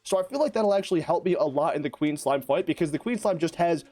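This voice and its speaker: A young man with a raspy, hoarse voice, giving excitable, slangy gameplay commentary as a YouTuber. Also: on the high side. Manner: excitable, slangy, fast-talking, rambling. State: hoarse from lost voice. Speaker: gaming YouTuber.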